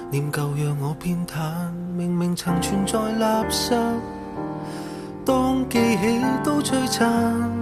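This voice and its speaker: High, slow woman singing Cantopop ballad. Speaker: singer of the theme song. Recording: loud plucked-guitar song backing.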